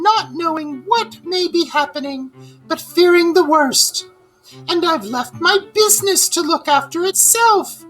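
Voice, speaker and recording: A woman performs a boastful toad masquerading as a washerwoman in a children's storybook. The voice is piercingly high and shrill, with big theatrical swoops. It wheedles and gushes in a bright falsetto. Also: full character voice, poor audio. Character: boastful male toad faking a plaintive old washerwoman. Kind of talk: children's storybook read-aloud.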